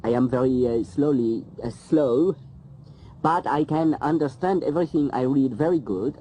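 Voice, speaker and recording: A man voicing a French man from Paris with a strong French accent, speaking haltingly and correcting himself. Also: mid-range voice, warm tone, slight background noise, lightly acted, low-quality recording. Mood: hesitant. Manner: hesitant, halting, self-correcting. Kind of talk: scripted textbook monologue.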